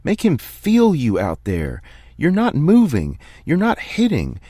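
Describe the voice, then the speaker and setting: A man voicing a furious male tennis coach who shouts, scolds and pleads with his player. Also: fairly high pitch, wildly swooping pitch, slow, husky, no room sound, clean studio sound, voice pushed up, exaggerated voice. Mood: fierce.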